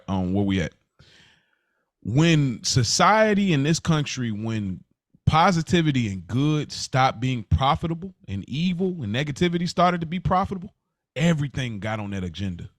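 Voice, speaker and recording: A man's voice: medium pitch, no room sound, clean studio sound. A man co-hosting a podcast in casual, reflective talk, earnest and emphatic, with colloquial phrasing. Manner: earnest, emphatic, colloquial, often says "bro". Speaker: podcast co-host.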